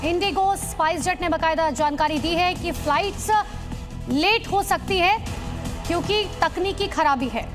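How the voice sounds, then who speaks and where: A woman's voice: extremely high pitch, unhurried, music in the background. A woman presenting live news as a studio anchor.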